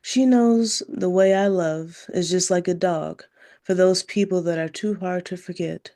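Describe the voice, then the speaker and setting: Slow, very mellow poet. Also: medium pitch. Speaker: poet at an open mic. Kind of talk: poetry reading. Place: online video gathering.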